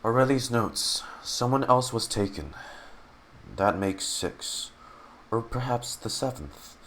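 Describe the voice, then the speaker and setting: A man who streams games, reading in-game text aloud dramatically and haltingly and muttering his reactions. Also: medium pitch, expressive, fairly bright tone, slight background noise.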